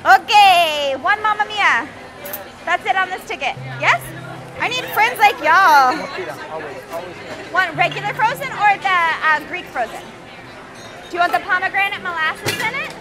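A woman's voice: very high voice, expressive, unhurried, pauses often. A woman with a Texan accent, working a restaurant and chatting about drinks with guests. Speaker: server or bartender. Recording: music and crowd chatter behind.

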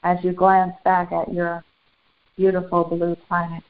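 A woman leading a guided spiritual meditation in solemn, formal, deliberate phrases with long pauses. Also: fairly low pitch, monotone. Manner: solemn, formal, ceremonial wording. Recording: muffled, phone-like narrow band.

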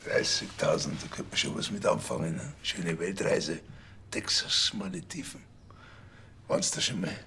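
Deep, gravelly Bavarian village policeman. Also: expressive, moderate pace, pushed and bright, a little hiss or hum, exaggerated voice. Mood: smitten, dreamy. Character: naive, easily smitten male Bavarian police officer.

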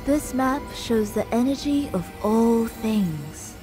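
An actress playing an ancient, imperious storm dragon in a game cinematic, solemn and commanding. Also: female voice, on the high side, animated, takes it slowly, over music. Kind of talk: dramatic game cinematic acting.

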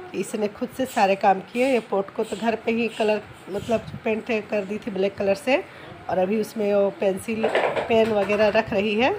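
Hoarse woman vlogging on her phone. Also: mid-range voice, very noisy. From Jharkhand, India. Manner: chatty and homely, talking straight to viewers. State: hoarse from a cold. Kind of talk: casual vlog to camera. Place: home and nearby street, indoors and out. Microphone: phone held at arm's length.

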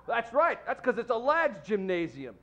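High-voiced eager young gym fellow acted by a man. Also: very animated, unhurried, a bit bright, dull audio, full character voice. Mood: hearty, eager. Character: eager young gym-goer bound for enlistment. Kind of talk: 1940s radio advert acting. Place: indoor theatre stage.

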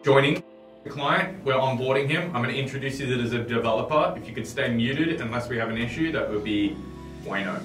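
A man's voice: medium pitch, a little fast. A man in casual business conversation, talking in a chummy, informal way as a startup team member. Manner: chummy, informal, says mate and buddy. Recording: roomy, distant mic, music behind.

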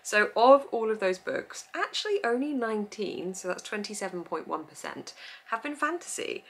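A woman's voice: medium pitch, expressive, normal speed. A young woman, a book vlogger chatting upbeat to camera at home, laughing often as she goes through her reading. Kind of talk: casual chat to camera. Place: home room with full bookshelves.

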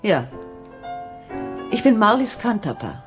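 An actress playing an anxious but determined wife in a German radio crime drama, speaking in a tense, insistent way. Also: female voice, mid-range voice, big pitch swings, takes it slowly, loud music behind the voice. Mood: guarded.

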